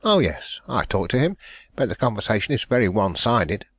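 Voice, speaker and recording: A man's voice: fairly low pitch, big pitch swings, a little fast, fairly bright tone, slightly rough, dry recording, heavily acted. A man voicing a worldly male society gossip, speaking with wry, knowing banter.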